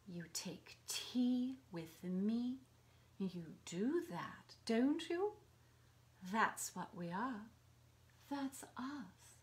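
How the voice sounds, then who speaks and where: A woman's voice: on the high side, a bit bright, heavily acted. A woman acting in a stage play as a possessive mistress, coaxing and pleading with her lover.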